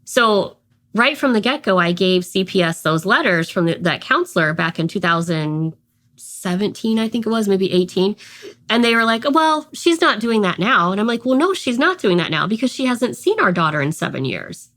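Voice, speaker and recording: Woman from Ohio, interview guest, mid-pitched voice, retells events, quotes dialogue. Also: very animated, moderate pace, fairly bright tone. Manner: recounts events, quoting others in dialogue. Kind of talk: conversational storytelling.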